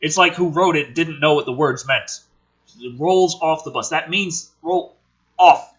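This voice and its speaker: A husky-voiced man reading a script aloud dramatically, with exasperated, sweary commentary as host. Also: on the high side, unhurried, a bit bright, muffled recording. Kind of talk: animated script read-aloud with profane commentary.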